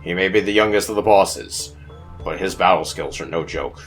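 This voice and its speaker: A man voicing a secretive, calm mastermind in a video game, giving a measured, businesslike briefing. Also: low voice, faint music behind. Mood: calm, ominous.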